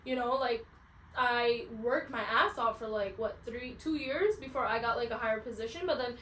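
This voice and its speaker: A woman's voice: fairly high pitch, moderate pace, a bit bright. A woman in her twenties co-hosting a podcast, chatting frankly with lots of "like" and some swearing. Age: 20s. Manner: frank, swears freely, heavy use of "like". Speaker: podcast co-host. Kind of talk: casual chat. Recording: roomy echo, mic at a distance, faint hiss.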